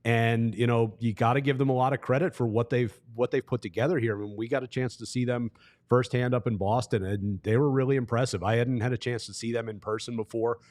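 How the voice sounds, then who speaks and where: A man who works as a play-by-play broadcaster, talking as a guest analyst in a measured, explanatory, conversational way. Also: medium pitch, fairly even intonation, a little mellow.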